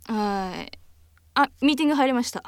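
A woman's voice: on the high side, animated, a little fast, close to an everyday voice. A woman playing a polite, apologetic junior office worker in a naturalistic radio drama, hesitant and deferential. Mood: dutiful.

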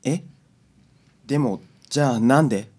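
A man's voice: mid-range voice, moderate pace, darkish tone, slightly rough, natural voice. Man acting an anxious, flustered husband. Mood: bewildered. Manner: hesitant, easily flustered. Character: anxious, flustered husband who hired a detective. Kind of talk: radio drama acting.

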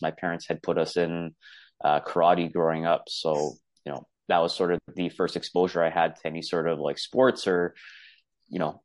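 A man, his voice sitting very low, speaks as a podcast guest in a loose, easygoing way, often reaching for "you know". The sound is close and dry, with no room around it. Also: no echo. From Canada. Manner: relaxed, easygoing, many fillers like "you know". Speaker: podcast guest, boxing coach. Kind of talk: casual interview chat.

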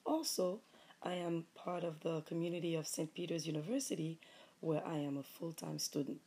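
A woman with a Haitian accent, a mother and teacher, giving earnest, measured answers in a family interview. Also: low voice, fairly even intonation, dark tone. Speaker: mother, high school teacher. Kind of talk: reading prepared interview answers.

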